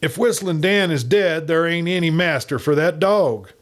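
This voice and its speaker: A man voicing a squeaky, excitable western outlaw. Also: normal speed, close to an everyday voice. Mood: excited, cruel. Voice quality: squeaky tenor. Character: cruel, excitable cowboy outlaw with tight lips.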